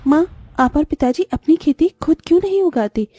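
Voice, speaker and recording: A poor, dutiful young daughter from a moral-story cartoon, acted by a woman. She speaks earnestly and gently in a high, very mellow voice, recorded dry over music. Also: lively intonation, moderate pace, no echo. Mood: indignant, pleading.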